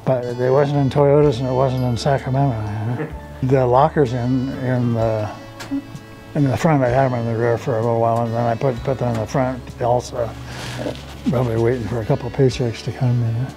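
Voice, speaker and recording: A man's voice: mid-range voice, flat delivery, brisk, over music, poor audio. An American man in his seventies reminiscing casually in a folksy storytelling way, often adding "you know". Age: 70s. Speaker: off-road enthusiast recounting memories. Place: outdoors on a driveway by an open garage.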